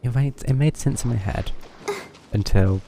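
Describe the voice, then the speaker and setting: A man's voice: medium pitch, expressive, measured pace, noisy background. A man giving casual live game commentary, thinking aloud in a tentative way. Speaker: game streamer. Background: game music.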